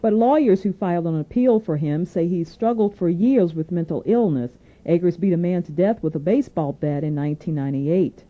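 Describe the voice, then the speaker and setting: A woman anchoring a scripted radio newscast, reading in a formal, measured way. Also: fairly low pitch, mellow. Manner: formal, measured newsreading delivery. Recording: narrow tinny AM radio aircheck.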